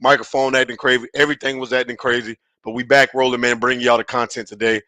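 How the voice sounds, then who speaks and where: A man hosting a casual sports podcast, his voice raspy and hoarse from yelling, talking in a hyped, slangy way on a headset mic. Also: medium pitch, level tone, quick pace, no room sound. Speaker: podcast host.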